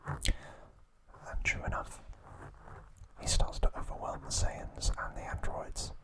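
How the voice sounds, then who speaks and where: A man speaks entirely in a breathy, unvoiced whisper, drawing out each phrase slowly for an ASMR recap. He trips now and then over unusual names and quietly corrects himself before moving on. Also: poor audio. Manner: slow, unvoiced whisper with small slips and mispronunciations. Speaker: ASMR host.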